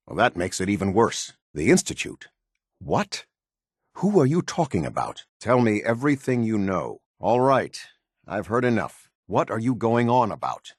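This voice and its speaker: Low-voiced, slightly husky military captain, strict and formal, issuing clipped orders for a video game. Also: male voice, dry recording, clean studio sound, exaggerated voice. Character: stern, disciplined male military captain giving orders. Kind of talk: scripted video game dialogue.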